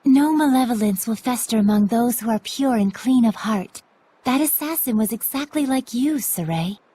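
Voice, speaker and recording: An actress playing a gentle, prim, devout female spirit in a video game, with proper, formal diction. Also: a little high, lively intonation, moderate pace, slightly rough. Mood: wondering, earnest. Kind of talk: English video game dub acting.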